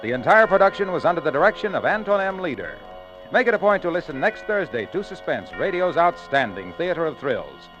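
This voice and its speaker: A man's voice: a little high, very animated, a little fast. A man announcing and reading sponsor copy on a 1940s radio broadcast, polished and buoyant. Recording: dull old radio broadcast with music.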